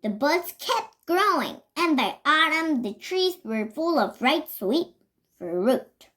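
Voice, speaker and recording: A child reads a storybook aloud in a high voice that swings up and down in pitch. The delivery is slow and careful, slightly halting, with words given deliberate emphasis. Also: big pitch swings, sharp tone. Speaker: reader. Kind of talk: reading a children's picture book aloud.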